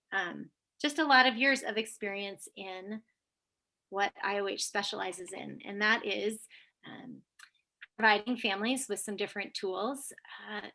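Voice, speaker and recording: A woman's voice: medium pitch, creaky, pushed and bright. A woman hosting and moderating a panel conversation, introducing each guest with frequent ums. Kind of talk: warm-up of a video call panel.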